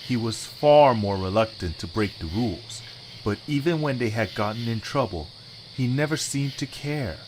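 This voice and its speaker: A man's voice: fairly low pitch, a little hiss or hum, poor audio. A man reading scripted story narration for an audio drama.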